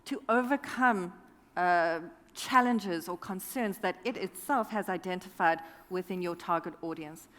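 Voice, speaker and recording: A woman explaining a business use case to a conference audience in a measured way. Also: medium pitch. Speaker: conference panelist. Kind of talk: conference presentation. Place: large conference hall. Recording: reverberant conference hall.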